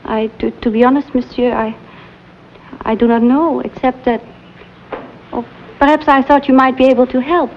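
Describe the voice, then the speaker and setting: A high, flustered young French teacher, breathy and fluttering, with a French accent. Her polite, anxious voice comes through a dull, lo-fi old soundtrack. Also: steady tone, normal speed, long pauses, slight background noise. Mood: hesitant. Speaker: actress in a western drama. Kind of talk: vintage television drama acting.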